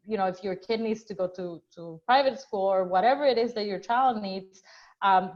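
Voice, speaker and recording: A middle-aged woman from Ecuador, a real estate agent, talking earnestly in a webinar conversation with frequent restarts and fillers. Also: medium pitch. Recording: dull lo-fi webinar audio.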